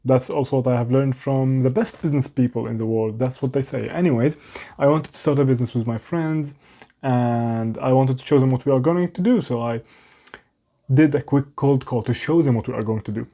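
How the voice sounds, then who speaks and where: A man's voice: medium pitch, a little fast, dark tone. A young man with a non-native English accent, rambling candidly to the camera in a small room at home, often mocking himself and restarting sentences. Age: young adult. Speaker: vlogger.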